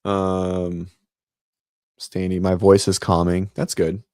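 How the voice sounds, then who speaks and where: A man with a raspy voice hosting a casual fitness livestream from his home office, rambling with many ums and restarts. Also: low-pitched, monotone, slow, a little mellow, no echo, studio quality. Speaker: host of a fitness livestream show. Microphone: set-up studio mic.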